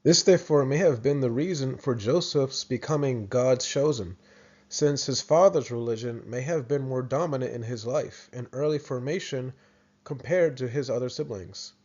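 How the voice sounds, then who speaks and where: A man's voice: medium pitch, moderate pace, a little mellow, muffled recording. An American man presenting a video lesson in a casual, explanatory way, often restarting his sentences. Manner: thoughtful, with frequent restarts and fillers.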